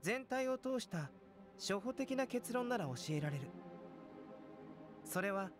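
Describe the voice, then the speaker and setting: Calm young anime game alchemist, kinda high soft voice, scholarly and measured, music behind. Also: male voice. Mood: calm, explanatory. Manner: measured, composed, philosophical explaining. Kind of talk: Japanese anime game voice acting.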